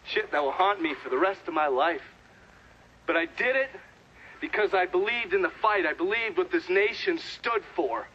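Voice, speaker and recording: A man's voice: sits high, lively intonation, moderate pace, lo-fi sound, slight background noise. An American man acting a hard, bitter, middle-aged Vietnam veteran giving confrontational, profane testimony in a game cutscene. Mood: bitter, intense. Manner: profane, confrontational, storytelling at length. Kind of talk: dramatic game cutscene monologue.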